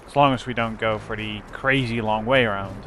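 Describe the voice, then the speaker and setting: A young man with a slightly rough voice giving rambling, casual commentary while playing a game. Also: fairly low pitch, fairly even intonation, a little fast, noisy background. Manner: rambling, self-correcting. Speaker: let's play host.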